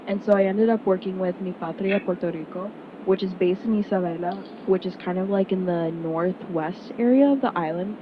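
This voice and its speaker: A young woman from Miami giving an earnest, conversational talk to prospective students, full of "like" and "um". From Miami, United States. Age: young adult. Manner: earnest, conversational, frequent "like" and "um" fillers. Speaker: student speaker at an information session. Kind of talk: casual presentation to prospective students. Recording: muffled sound with steady rumble noise.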